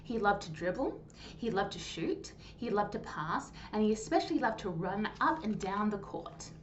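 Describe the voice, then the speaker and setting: A woman hosting a storytime, reading a children's story aloud in an animated, clearly phrased way. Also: medium pitch, moderate pace. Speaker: storytime host and reader. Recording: dull lo-fi sound, faint noise.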